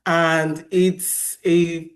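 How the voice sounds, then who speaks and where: A woman's voice: lowish voice, very slow. Chatty Nigerian woman. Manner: lively, chatty, laughs easily. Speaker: panel speaker, artist. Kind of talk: panel discussion.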